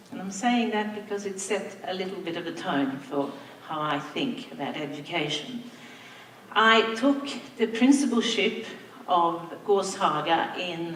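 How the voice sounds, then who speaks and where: An older woman from Sweden, a native Swedish speaker, giving a slow, reflective anniversary speech to school staff as their principal. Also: medium pitch, a little hiss or hum. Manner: slow, reflective, warm thanks with soft laughs. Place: large hall, on stage. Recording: echoey hall from far off.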